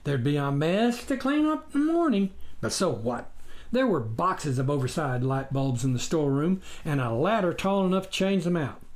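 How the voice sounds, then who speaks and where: Animated male audiobook narrator. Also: on the high side, normal speed. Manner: expressive storytelling. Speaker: author reading his memoir.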